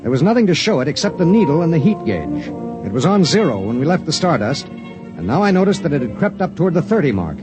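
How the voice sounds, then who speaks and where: A steady, matter-of-fact spaceship pilot with a fairly high voice that rises and falls a lot. Music plays clearly beneath the old broadcast. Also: male voice, quick pace, low-quality recording. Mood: grave. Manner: grave first-person narration. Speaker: pilot and narrator. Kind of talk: 1950s radio drama narration and acting.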